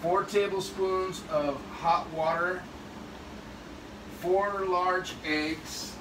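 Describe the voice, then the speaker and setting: A man's voice: high voice, fairly even intonation, drawn-out words. A middle-aged man walking through a recipe in his home kitchen, rambling a little as he explains each step. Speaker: home cook. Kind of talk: casual cooking demo. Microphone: phone camera across the room. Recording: echoey kitchen, distant phone mic, hum.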